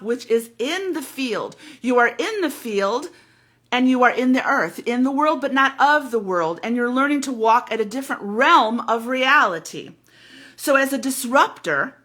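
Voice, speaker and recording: Woman spiritual teacher, loud, emphatic monologue, bright forceful tone. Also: on the high side. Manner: emphatic, fervent, repeats words.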